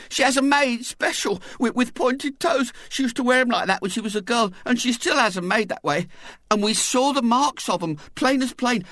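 A woman reader voicing a fussy, tearful middle-aged woman who wails in a high, shaky voice. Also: fairly bright tone, dry recording, studio quality, close to an everyday voice.

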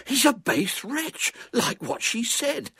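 A man's voice: very high-pitched, sing-song, slow pace, sharp tone, slightly rough, dry recording, studio quality, voice pushed up, heavily acted. A man voicing a bold, boastful young boy playing at knights in a storybook, with blustering, melodramatic talk. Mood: excited, scheming.

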